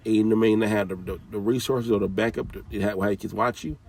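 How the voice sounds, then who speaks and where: A man with a low, gravelly and husky voice scolds his listeners directly, with casual slang and many repeated phrases. He sits back from the mic, so he sounds distant. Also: fairly even intonation, fast, a little mellow. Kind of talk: informal motivational talk.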